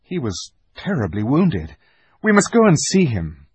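A man's voice: mid-range voice, huge pitch swings, unhurried, husky, dry recording, pitched above the performer's own voice, exaggerated voice. A man voicing an earnest teenage boy war leader in a storybook, speaking emphatically and urgently about battle. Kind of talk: dramatised audiobook reading of a children's fantasy.

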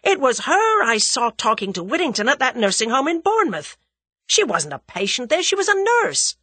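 Brash yank lad, loud and excitable, bright and husky, read by a woman. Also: sits high, normal speed, no echo, voice pushed up, exaggerated voice. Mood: agitated. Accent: American. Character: brash young American man, impulsive, blustering.

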